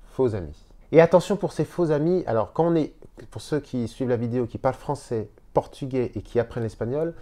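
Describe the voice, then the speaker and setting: A man who teaches Spanish, speaking in a casual video lesson from a hotel room and explaining slowly with repeated words. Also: medium pitch, dark tone.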